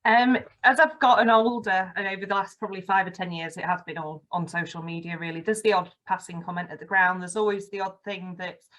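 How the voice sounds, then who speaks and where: A woman's voice: mid-range voice, steady tone, brisk, few pauses, a bit bright, low-quality recording. A young woman with a Yorkshire accent, speaking frankly as a podcast guest in casual conversation, fluent but with frequent "um" fillers. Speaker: podcast guest, campaign ambassador.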